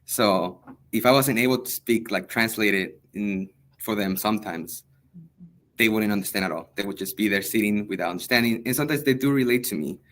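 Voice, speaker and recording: A young man from El Salvador, a native Spanish speaker, answering interview questions as a high school history teacher, earnest and hesitant with many pauses and fillers. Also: a bit bright. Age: young adult.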